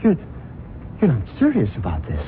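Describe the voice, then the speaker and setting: An actor playing a smooth, scheming husband in an old radio drama who plots his wealthy wife's murder. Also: fairly low pitch, huge pitch swings, moderate pace, slight background noise. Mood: agitated. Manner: smooth, then flustered and scheming. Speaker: husband, narrator of the story. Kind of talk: 1970s radio drama acting. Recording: tinny old radio broadcast with music.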